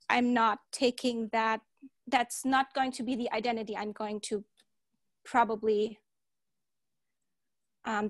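A woman in her thirties from Germany with a German accent, chatting casually as a podcast co-host, thoughtful and hesitant with many pauses. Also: fairly high pitch, no echo, clean studio sound. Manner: thoughtful, hesitant with many ums, laughs at herself. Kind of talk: casual chat.

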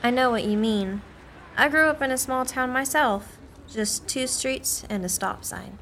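Newspaper reporter, played by an actress: fairly high voice, courteous and inquisitive, radio drama, slight noise behind. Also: female voice, unhurried, fairly bright tone. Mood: polite. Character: polite, curious small-town-raised newspaper reporter woman. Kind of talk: radio play acting.

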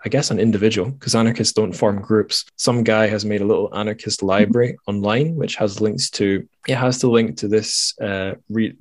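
A man's voice: fairly low pitch, steady tone, normal speed, hardly any pauses. A man hosting a podcast, chatting casually with wry, self-deprecating, rambling asides. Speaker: podcast host. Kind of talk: casual podcast chat and outro.

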